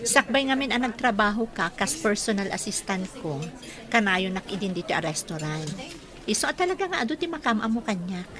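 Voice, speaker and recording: An actress playing an exasperated younger sister, a singer, in a radio drama. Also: female voice, medium pitch, expressive, fairly quick, crowd noise, lightly acted. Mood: calmer, confiding. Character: popular singer Adelfa, exasperated with her wayward older brother. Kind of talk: Ilocano radio drama acting.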